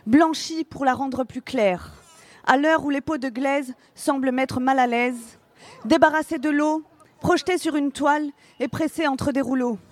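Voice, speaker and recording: High-voiced woman reciting spoken-word poetry. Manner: measured, rhythmic, deliberate articulation.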